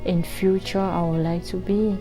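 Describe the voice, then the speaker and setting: A woman, introducing herself in a measured, steady way in an interview. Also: fairly low pitch. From Cameroon. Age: 20s. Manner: slow, measured self-introduction. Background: background music.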